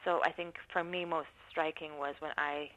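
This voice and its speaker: A woman giving thoughtful, measured interview answers, often pausing to rephrase her points. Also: sits low, fairly even intonation, fairly bright tone, highs cut off. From not from New Zealand. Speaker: interviewee, university researcher. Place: New Zealand.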